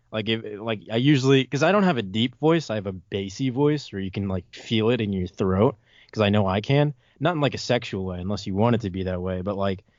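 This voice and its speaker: A man's voice: medium pitch, dry recording, muffled recording. A twenty-year-old man chatting casually and bluntly as a podcast guest. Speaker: podcast guest, video maker.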